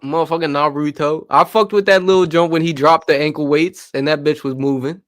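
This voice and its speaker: Young man, tipsy panel guest, high-pitched voice, rambling jokes. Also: fairly even intonation, brisk, a bit bright. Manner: joking, rambling, self-described trash talker. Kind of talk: casual livestream panel chat.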